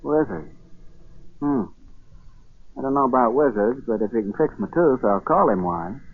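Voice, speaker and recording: An actor in a 1940s radio drama playing a plain, stubborn farmer, folksy, hesitant and slow to catch on. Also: male voice, mid-range voice, expressive, husky. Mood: wary, grudging. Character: plain, stubborn Massachusetts farmer with a nagging toothache. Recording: muffled vintage 1940s radio broadcast.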